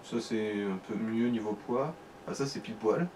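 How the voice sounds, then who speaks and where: A man's voice: fairly low pitch, normal speed, a little mellow, a little hiss or hum. A man giving casual commentary as the second voice on a video game let's play.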